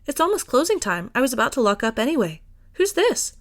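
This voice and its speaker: A woman's voice: high voice, very animated, fast, voice pushed up. A woman voicing a friendly, eager young female bookshop owner in an audiobook, gushing with enthusiasm. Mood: bright, friendly. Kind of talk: acted fiction reading.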